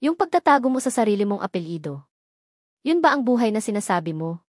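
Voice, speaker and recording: A woman voicing a cold, controlling young husband who speaks calmly and with quiet menace in a storybook. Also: mid-range voice, dry recording, clean studio sound. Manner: calm, measured, quietly menacing.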